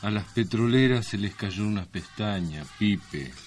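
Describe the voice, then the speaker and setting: An Argentine man with a low voice co-hosts a comic radio show. He talks in playful banter with a mock-formal, tongue-in-cheek manner. Faint music sits behind him. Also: expressive, measured pace. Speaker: radio co-host.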